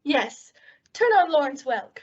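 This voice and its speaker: A woman's voice: sits high, big pitch swings, takes it slowly, muffled recording, heavy background noise, full character voice. A woman playing a picky, haughty sweetheart in a melodramatic 1950s-style radio commercial. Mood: dismissive.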